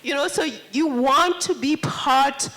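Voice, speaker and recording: A woman's voice: high-pitched, slow, gravelly, fairly bright tone. A woman panelist, speaking emphatically and energetically. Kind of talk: panel discussion comment.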